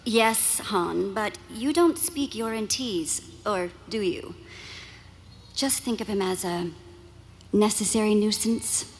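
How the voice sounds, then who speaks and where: An actress in a live audio drama playing a composed, firm princess who scolds gently and patiently. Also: female voice, medium pitch, slow pace. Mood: firm, coaxing. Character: composed, firm young princess and rebel leader. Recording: live stage with faint noise.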